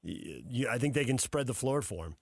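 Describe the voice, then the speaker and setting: A man's voice: mid-range voice, expressive, brisk, a little mellow, slightly rough, dry recording, studio quality. A man hosting a sports radio show in a conversational, on-air style. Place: radio studio.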